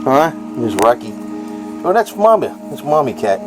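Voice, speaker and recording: A man's voice: medium pitch, sing-song, moderate pace, music in the background, rough recording. An American man chatting casually off the cuff in a rambling, informal, folksy way. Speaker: vlogger.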